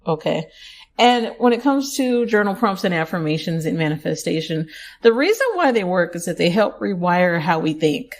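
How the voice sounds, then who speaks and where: A young adult woman hosts her own podcast, talking to listeners in a chatty, upbeat way. Her voice has a slight scratchiness from allergies. She often breaks off to correct herself in quick asides. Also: on the low side, lively intonation. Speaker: podcast host. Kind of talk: affirmation podcast monologue.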